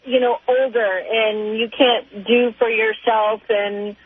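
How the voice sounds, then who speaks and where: A woman's voice: a little high, flat delivery, slow pace, slightly rough. A woman calling a radio show and chatting casually over a phone line. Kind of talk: casual chat.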